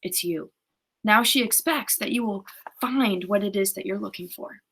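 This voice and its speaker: Woman reading a wise, measured desert alchemist. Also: medium pitch, a bit bright, husky. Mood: calm, foretelling. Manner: measured, prophetic storytelling. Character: wise male alchemist, knowing desert mentor.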